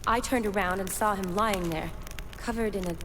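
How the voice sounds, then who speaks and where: A woman's voice: medium pitch, normal speed, a bit bright, a little hiss or hum, natural voice. A woman acting an earnest, determined young medic in a video game, caring and stubborn, in a natural conversational manner. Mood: shaken, somber. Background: music.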